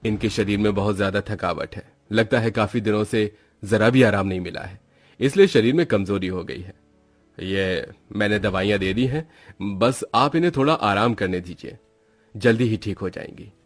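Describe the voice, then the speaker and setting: A man voicing a calm family doctor in a story, measured and reassuring. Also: lowish voice, faint music behind, lightly acted. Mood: calm concern.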